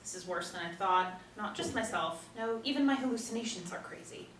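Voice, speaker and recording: A woman's voice: mid-range voice, animated, brisk, fairly bright tone, natural voice. A woman acting a flustered, stubbornly disbelieving driver in a table read of a TV drama, exasperated and emphatic. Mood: incredulous. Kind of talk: table read of a TV pilot script. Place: small indoor room. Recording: roomy, distant mic, noisy ambience.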